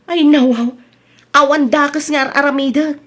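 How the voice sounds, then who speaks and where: A high-pitched woman's voice with an Ilocano accent acting out a village wife in a comedy radio-style drama. She is chatty and evasive, full of exclamations and quick retorts. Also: fairly even intonation, a bit bright.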